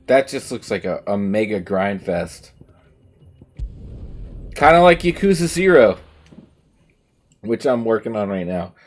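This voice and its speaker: A man's voice: lowish voice, big pitch swings, unhurried, pauses often, faint music behind. A man chatting casually and emphatically on a livestream while playing a game, giving opinions with some swearing. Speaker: game streamer. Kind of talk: casual livestream chat over gameplay.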